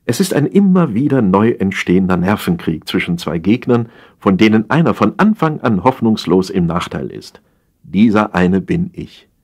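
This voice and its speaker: A man narrating a humorous satire as an audiobook reader, in a wry, theatrical storytelling manner with drawn-out emphasis. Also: lowish voice, very animated, darkish tone, breathy edge.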